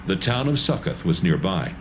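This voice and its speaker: A man narrating a dramatised scripture reading with a measured storytelling delivery. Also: deep voice, sing-song, slight background noise, low-quality recording.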